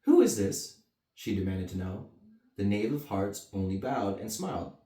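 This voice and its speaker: A man's voice: lowish voice, moderate pace, dark tone. A man reading a bedtime story to children with casual asides. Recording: roomy echo, mic at a distance.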